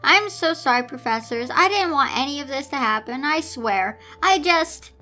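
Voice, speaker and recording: Lady doing a distraught meek schoolgirl from a story, high bright voice, pleading and overwrought. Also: expressive, normal speed, faint music behind. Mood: tearful, despairing. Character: distraught, self-blaming young schoolgirl, tearful and meek.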